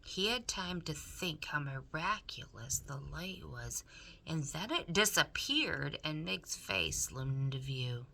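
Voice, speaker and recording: A woman's voice: low voice, big pitch swings, slow pace, vocal fry, bright, forceful tone. A woman reading a children's story aloud expressively, dramatically addressing the listener.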